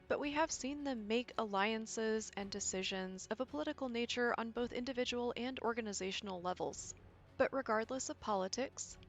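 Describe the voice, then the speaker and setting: A woman with a slightly scratchy, allergy-affected voice narrates an explanatory video essay in an articulate, conversational way. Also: fairly high pitch. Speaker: video creator, game lore explainer. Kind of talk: scripted video essay narration with casual asides. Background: background music.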